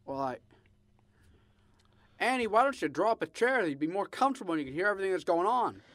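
Guy acting eager young small-town fella, old radio drama, halting and earnest, voice jumps around a lot. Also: high voice, very animated, a bit bright, no echo. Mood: animated, casual. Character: eager, restless young small-town man with big dreams.

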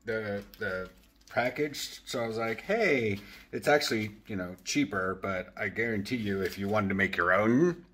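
Male vlogger, thirties, bright forward voice, loose rambling chat with joking asides, handheld camera at home. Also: fairly low pitch, normal speed. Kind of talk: casual chatty vlog. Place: apartment living room and open kitchen. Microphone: handheld camera at arm's length.

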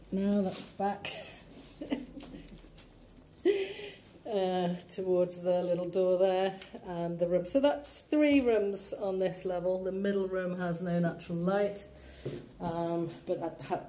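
A woman gives a practical, matter-of-fact tour of an empty townhouse, speaking into a handheld phone. Her voice is low, mellow and fairly flat, and it echoes around the bare rooms over a faint hum of ambience. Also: slow pace. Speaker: estate agent giving a property tour. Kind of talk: walkthrough tour commentary. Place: empty, run-down townhouse indoors. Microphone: phone camera held while walking.

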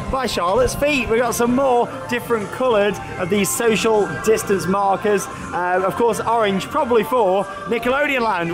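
A young man hosting a casual theme park vlog outdoors, chatty and upbeat with plenty of fillers, filmed on a camera at arm's length. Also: very high-pitched. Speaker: vlog host. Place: outdoors at a windy amusement park. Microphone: camera held at arm's length. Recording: loud wind and ride noise outdoors.

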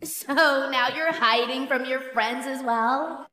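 A woman's voice: high voice, takes it slowly, bright, forceful tone, full character voice. A woman voicing a young female cartoon pony's evil shadow double, with a theatrical, taunting villain delivery. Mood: sinister taunting. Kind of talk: animated series voice acting. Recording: echoey reverb, some background noise.

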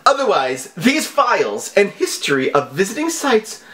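A man voicing a melodramatic, excitable email-scam hacker, with exclamatory delivery and drawn-out words. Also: sits high, pitched above the performer's own voice, full character voice. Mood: dramatic, insistent.

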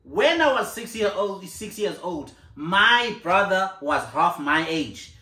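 A man's voice: high voice, very animated, takes it slowly, bright, forceful tone. A man in his thirties arguing his point insistently on a sofa at home, repeating himself and breaking into laughs, picked up by a camera across the room. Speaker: co-host of a couple's channel. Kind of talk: casual argument on a couch. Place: living room, sitting on a sofa. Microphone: far camera. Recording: echoey living room, camera mic.

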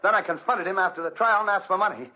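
A man's voice: high voice, quick pace, close to an everyday voice. An actor playing a desperate, insistent young man in 1940s radio drama style, on a muffled, noisy old recording. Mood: weary, pleading.

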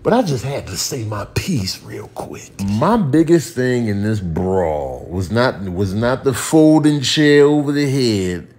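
A man's voice: mid-range voice, animated, unhurried, hardly any pauses, a little mellow. Theatrically exasperated American man doing comic commentary. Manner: theatrical, exasperated, inventive slang. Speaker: comedian doing voiceover commentary. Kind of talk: comic commentary with slangy ranting.

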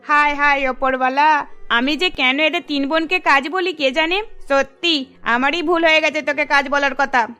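A high, bright voice for a nagging middle-aged cartoon mother. She scolds and complains in an exasperated way about her idle daughters. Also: fairly even intonation, moderate pace. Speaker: voice actor. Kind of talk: cartoon dubbing.

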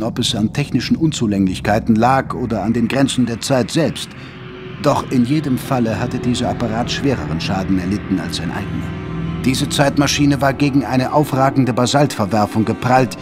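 A man narrating an audio drama in a dramatic, scripted storytelling style. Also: mid-range voice. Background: dramatic music.

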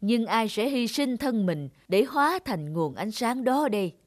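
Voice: medium pitch, very animated, a little slow, dry recording, studio quality. An actor playing a stern, imperious old male sun god in a cartoon fairy tale. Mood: grave.

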